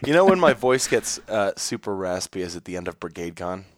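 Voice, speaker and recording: A man's voice: sits low, big pitch swings, brisk. A man co-hosting a podcast, talking informally and with slang into a close microphone. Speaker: podcast co-host. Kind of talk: casual podcast chat.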